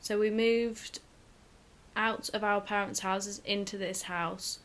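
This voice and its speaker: Bright-voiced young woman. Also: mid-range voice, fairly even intonation, slow. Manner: rambling, chatty, laughs often. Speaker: podcast host. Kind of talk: casual chat between friends. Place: shared home.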